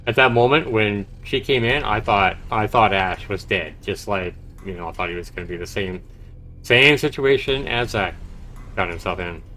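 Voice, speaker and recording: A man's voice: fairly low pitch, moderate pace, pushed and bright, a little hiss or hum. A man livestreaming a visual novel, reading the text aloud dramatically and reacting expressively as he comments. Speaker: game streamer. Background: game music.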